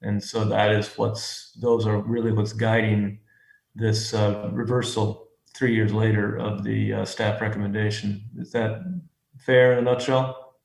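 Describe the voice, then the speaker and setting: A man chairing a public review hearing, speaking haltingly with many fillers and restarts. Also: fairly low pitch, level tone, creaky. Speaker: commission chair. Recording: echoey room sound.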